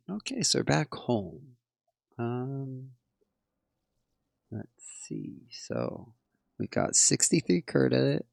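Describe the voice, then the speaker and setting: A hoarse man casually thinking aloud and tallying numbers while commenting on his game. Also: medium pitch, a little mellow, no room sound. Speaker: game player. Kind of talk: let's play commentary.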